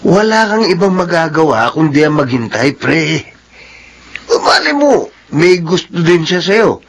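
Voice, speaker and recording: A man's voice: fairly high pitch, very animated, measured pace, full character voice. A man playing a skeptical, teasing male buddy in a Filipino drama. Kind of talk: radio drama dialogue.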